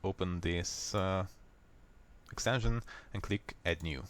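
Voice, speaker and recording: A man with a Hungarian accent, a native Hungarian speaker, calmly walking viewers step by step through a software fix in a screen recording. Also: low voice. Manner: unhurried, plain, step-by-step explaining. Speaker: software tutorial maker. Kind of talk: screen-recorded how-to walkthrough.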